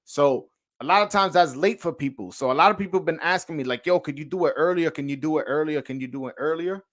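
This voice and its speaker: Man, New York accent, livestream host, casual slangy chat, frequent restarts, fairly high pitch. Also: fast, fairly bright tone. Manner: slangy, profane, lots of stammered restarts. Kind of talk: casual livestream chat, reacting to viewer comments.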